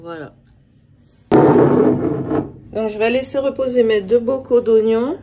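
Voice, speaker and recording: A woman vlogger with a dark, mellow voice talks the viewer through a homemade remedy. Her tone is easy and chatty, like a friend showing you how she does it. A faint hiss sits under her voice. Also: a little high, a little slow. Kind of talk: casual how-to narration to camera.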